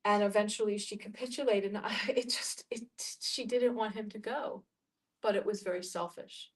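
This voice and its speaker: A woman in a reflective group discussion, speaking haltingly as she searches for her words. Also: mid-range voice, steady tone.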